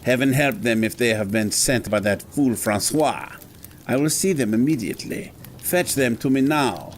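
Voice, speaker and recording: A man's voice: mid-range voice, animated, normal speed, slight background noise, close to an everyday voice. An actor playing a stern, haughty male head of an academy in an audio drama, speaking formally. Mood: imperious. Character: pompous, evasive, imperious scholar in charge of an academy.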